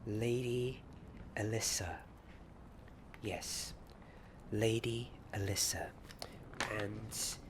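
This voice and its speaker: A man playing a friendly, secretive wizard-school companion in an ASMR roleplay, speaking in a hesitant, confiding way and often dropping to a whisper. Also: fairly low pitch. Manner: hesitant, halting, hushed and confiding. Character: friendly, secretive Hogwarts companion eager for a ghost party. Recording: clearly noisy ambient background.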